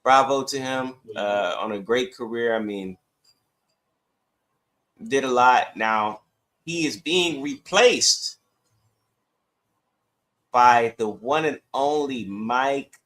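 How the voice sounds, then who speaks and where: A man with a medium-pitched voice chats casually as a sports podcast co-host. His phrasing is conversational and a bit halting. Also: fairly bright tone.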